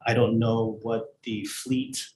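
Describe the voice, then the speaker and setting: Male administrator, somewhat low pitch, measured and explanatory, a touch hesitant, echoey meeting-room recording. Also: completely flat delivery, a little mellow. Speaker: school administrator. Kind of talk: informational answers at a board meeting. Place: indoor school board meeting room.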